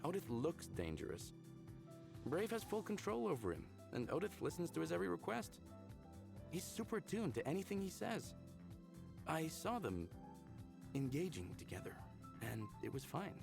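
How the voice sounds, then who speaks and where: An actor voicing an upbeat, smitten young man in a visual novel game, speaking in an earnest, casual, expressive way. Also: a little high, normal speed, vocal fry, pauses often, exaggerated voice. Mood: earnest, reassuring. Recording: background music under the voice.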